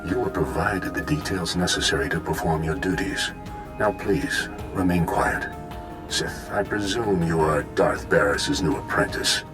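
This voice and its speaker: An actor voicing a calm, stern, mature Jedi master in a video game, speaking in a measured, formal way. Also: male voice, sits low, over music. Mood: calm authority.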